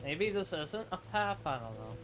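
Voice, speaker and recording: Higher-pitched man commenting over game music. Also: very animated, normal speed. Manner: opinionated, thinking aloud. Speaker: gamer doing playthrough commentary. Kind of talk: casual gameplay commentary. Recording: clear game music behind voice.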